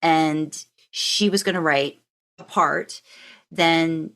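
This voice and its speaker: A woman's voice: low voice, slow pace, a bit bright. A woman hosting a podcast in casual chat, rambling a little as she thinks aloud. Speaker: podcast host.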